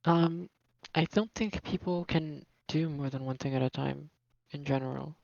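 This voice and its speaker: A man's voice: fairly high pitch, fairly even intonation, normal speed, vocal fry, darkish tone, dry recording, highs cut off. A young man from Brazil, raised speaking Portuguese, chatting casually as a podcast guest in a rambling, self-correcting way. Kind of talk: casual chat.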